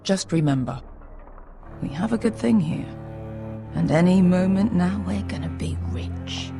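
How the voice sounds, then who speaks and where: A woman's voice: lowish voice, expressive, a little slow, loud music behind the voice. An actress playing a brash, cynical female mercenary in a video game, blunt, sardonic and foul-mouthed in manner. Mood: blunt, loyal. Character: brash, cynical female sellsword, loyal but greedy. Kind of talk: video game character acting.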